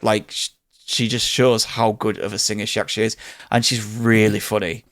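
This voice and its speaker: Deeper-voiced British man chatting enthusiastically. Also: flat delivery, normal speed, fairly bright tone. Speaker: podcast host reviewing films. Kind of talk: casual chat, film review.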